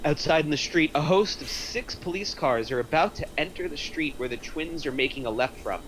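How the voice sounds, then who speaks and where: A man's voice: a little high, animated, brisk, a bit bright, a little hiss or hum, low-quality recording. A man reading stage directions as the narrator of a screenplay table read.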